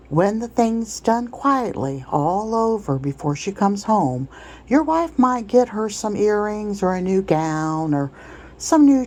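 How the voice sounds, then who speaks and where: A coarse slave trader played by a woman reading a storybook aloud, with a mellow tone. He blusters and wheedles in folksy rustic dialect, overly familiar and always pushing. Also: medium pitch, pitched above the performer's own voice, exaggerated voice. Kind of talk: storybook read-aloud character.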